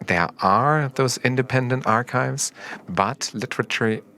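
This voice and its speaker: A man live-interpreting a panel discussion, speaking in halting, short fragments of phrases. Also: mid-range voice, bright, forceful tone. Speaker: simultaneous interpreter.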